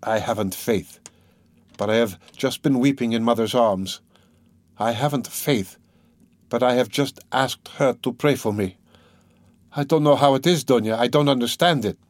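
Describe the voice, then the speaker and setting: A brooding, proud young man in a literary drama, played by a male narrator. He is worn out and bitter, speaking with halting intensity at a middle pitch, dry and close. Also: dry recording, close to an everyday voice. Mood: weary, tormented.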